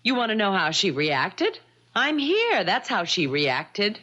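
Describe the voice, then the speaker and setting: Radio drama: scorned American wife, sardonic and indignant, bright voice, animated delivery. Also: female voice, medium pitch, takes it slowly, lo-fi sound, full character voice. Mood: bitter, indignant. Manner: pointed, theatrical. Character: wronged wife, indignant, cunning and bitterly sardonic. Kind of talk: 1970s radio drama acting.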